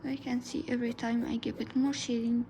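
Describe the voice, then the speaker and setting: A woman whose first language is Arabic, narrating a drawing tutorial softly, often dropping into a whisper. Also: a little high, flat delivery, fairly quick, slight background noise. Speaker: art tutor.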